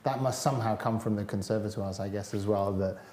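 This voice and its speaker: A man's voice: on the low side, fast talker. A man in his forties, a musician guest, speaking thoughtfully in a panel conversation in a large hall. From Britain. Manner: thoughtful, animated with hand gestures. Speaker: cellist guest. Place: large hall with high windows. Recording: echoey hall.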